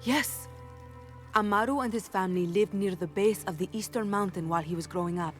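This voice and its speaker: A woman playing a composed, resolute rebel leader in a video game, measured and authoritative. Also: medium pitch, faint music behind, close to an everyday voice. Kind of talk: naturalistic video game acting.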